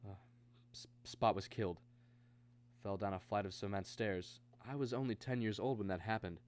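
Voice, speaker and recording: An actor playing an anxious, insistent man in a radio drama, both narrating and speaking halting, repetitive lines. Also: lowish voice, steady tone, a little mellow, natural voice. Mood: puzzled. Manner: halting, repeats words when agitated. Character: anxious, insistent man searching for his missing brother. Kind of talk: radio drama acting with first-person narration.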